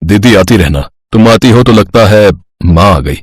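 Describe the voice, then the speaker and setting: Man acting a husky, hearty grown brother. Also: lowish voice, lively intonation, unhurried, dry recording, clean studio sound. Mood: sincere, affectionate. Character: affectionate, teasing grown brother and family man.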